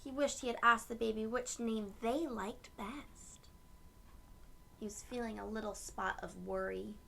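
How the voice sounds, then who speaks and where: A woman reading a picture book aloud to young children and explaining it gently in simple words. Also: a little high, fairly even intonation, measured pace, vocal fry, a little hiss or hum. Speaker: children's read-aloud host.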